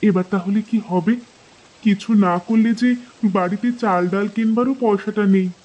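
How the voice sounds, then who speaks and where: A woman's voice: mid-range voice, fairly even intonation, normal speed, darkish tone, lo-fi sound, close to an everyday voice. A woman playing a kind, hospitable village wife in a children's cartoon, speaking in an earnest, respectful way. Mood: concerned.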